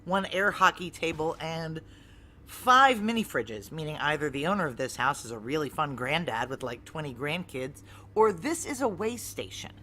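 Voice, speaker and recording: A woman's voice: low-pitched, big pitch swings, moderate pace, pushed and bright, slight background noise, exaggerated voice. An actress playing a tough, wary, jealous woman who narrates a noir audio drama in a terse, sardonic, guarded way. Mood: wry, watchful.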